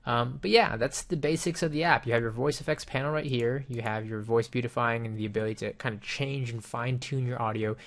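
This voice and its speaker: A man with a mid-pitched voice full of vocal fry talks through a piece of software. His delivery is informal and explanatory, like a casual reviewer recording a screen demo at his computer. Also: fairly quick, hardly any pauses.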